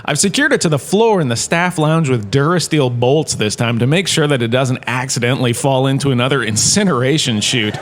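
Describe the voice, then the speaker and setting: An actor playing a self-satisfied, washed-up performer turned university lecturer, chatty and digressive in a comedic sci-fi audio drama. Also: male voice, mid-range voice, fairly quick, hardly any pauses, a little hiss or hum, close to an everyday voice. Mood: pleased, oblivious.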